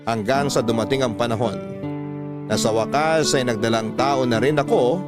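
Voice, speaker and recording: A man reading a listener's letter aloud in a steady, confessional storytelling voice. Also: medium pitch, normal speed, loud music behind the voice. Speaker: letter reader.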